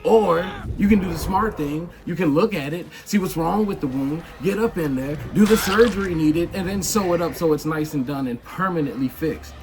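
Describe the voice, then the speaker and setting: A man streamer ranting in loud, emphatic, profane commentary. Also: fairly high pitch, normal speed, few pauses, music in the background. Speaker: game streamer.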